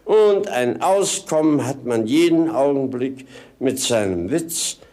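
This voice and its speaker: An actor playing a cheeky, idle, punning companion in a 1950s German radio play, with theatrical, singsong clowning. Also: male voice, medium pitch, animated, takes it slowly, dark tone. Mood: mock solemn.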